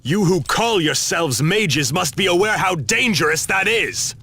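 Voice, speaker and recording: A man playing a stern, accusing figure in a fantasy video game, speaking in a forceful, admonishing manner. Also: sits high, lively intonation, a little slow, creaky, husky, heavily acted.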